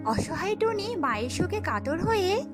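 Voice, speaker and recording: Lively, high-pitched female storyteller. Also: normal speed, loud music behind the voice. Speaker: narrator. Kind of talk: scripted children's story narration.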